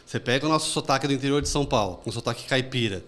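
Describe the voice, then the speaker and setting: A man's voice: medium pitch, a bit bright. A man hosting an interview, chatting casually and telling anecdotes. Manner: fast, chatty storytelling with anecdotes. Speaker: podcast host.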